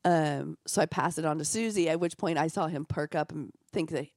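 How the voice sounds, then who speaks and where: A woman co-hosting a casual chat, telling stories in a lively way, a bit hoarse. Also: low voice, fairly quick, dry recording, clean studio sound. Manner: storytelling, lively. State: hoarse from coughing. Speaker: co-host.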